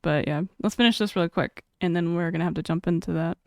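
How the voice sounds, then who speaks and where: A woman's voice: on the low side, fast, dry recording, clean studio sound. A young woman streamer chatting casually, reading chat aloud and laughing often.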